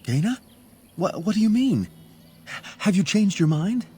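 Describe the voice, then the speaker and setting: Mellow, sincere young elf hunter. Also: male voice, high-pitched, sing-song, unhurried, breathy edge, full character voice. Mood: hopeful, surprised. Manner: earnest, polite. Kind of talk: fantasy video game acting.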